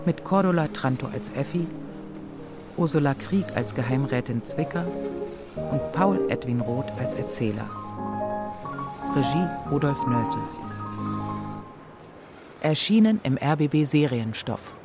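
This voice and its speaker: An announcer reads scripted credits in a medium-pitched voice. Loud music plays throughout behind the formal announcement. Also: expressive, a little slow, pauses often. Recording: studio voice over loud music.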